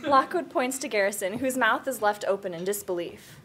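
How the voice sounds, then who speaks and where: A woman's voice: medium pitch, animated, fairly quick, a loud crowd. A woman reading out screenplay stage directions in a plain narrating style.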